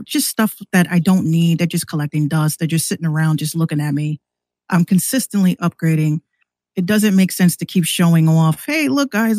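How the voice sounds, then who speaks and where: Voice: mid-range voice, a little mellow, dry recording. A podcast guest who hosts a show, talking casually and at length in a rambling, conversational way.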